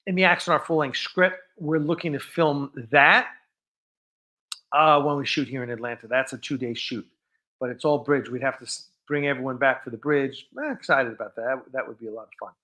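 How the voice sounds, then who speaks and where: Bright-voiced man hosting a casual livestream. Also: mid-range voice, fairly even intonation, a little fast. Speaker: live stream host. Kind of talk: casual unscripted live stream chat.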